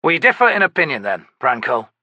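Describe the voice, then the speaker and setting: A disciplined knight commander, voiced by a male narrator speaks in a raised, firm, courteous tone with crisp, formal phrasing. His voice sits noticeably higher and louder than the narration, and the recording is dry and close. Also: very animated, a little fast, no echo, muffled recording, exaggerated voice.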